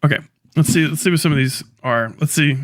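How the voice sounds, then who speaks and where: A man's voice: a little high, fast, dry recording. A man talking in a video clip, partly reading aloud.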